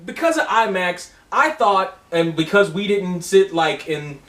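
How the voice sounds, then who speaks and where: A man with a high-pitched voice talks through a movie review in loose, profane chat. He gets excitable and restarts his sentences a lot. He sits back from the mic in an echoey room. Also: unhurried, far from the mic. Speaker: co-host of movie review video.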